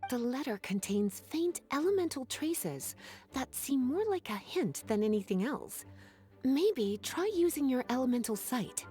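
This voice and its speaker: A woman's voice: sits high, sing-song, measured pace. An actress voicing a poised, teasing, affectionate young woman librarian in an anime-style game, coy and articulate. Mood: composed. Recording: loud background music.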